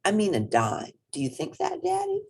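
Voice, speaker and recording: A woman's voice: mid-range voice, huge pitch swings, normal speed, creaky, darkish tone, breathy edge, voice pushed up, full character voice. A woman voicing an earnest, curious little girl rabbit in a storybook, with eager, childlike pleading. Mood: earnest wondering.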